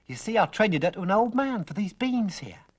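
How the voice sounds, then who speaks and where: A storyteller voicing a sharp, eager young farm boy with quick, cheeky retorts and a husky voice. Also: mid-range voice, sing-song, voice pushed up. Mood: proud.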